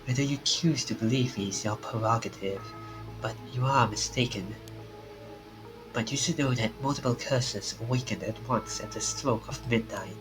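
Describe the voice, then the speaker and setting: A man voicing a formal, politely stern game character in a stiff, measured manner. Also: mid-range voice, natural voice, low-quality recording. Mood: measured, grave. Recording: music under the voice.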